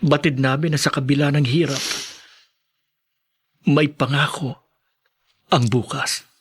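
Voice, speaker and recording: A man's voice: medium pitch, steady tone, unhurried, slightly rough. Earnest young Filipino man. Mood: heartfelt. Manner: sincere, heartfelt. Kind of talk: Filipino radio drama acting.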